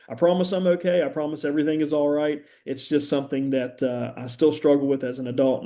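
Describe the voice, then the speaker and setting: A middle-aged man from West Virginia, a university professor, giving a conversational, earnest introductory lecture with frequent "uh" pauses and an occasional stammer. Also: medium pitch, warm tone. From West Virginia, USA.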